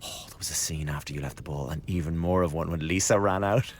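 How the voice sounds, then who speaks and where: An actor playing a glib, scheming young revolutionary in a radio drama, chatty and insinuating with a blackly flippant manner. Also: male voice, a bass voice, fast talker, a little hiss or hum. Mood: needling, gossipy. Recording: studio radio drama with background ambience.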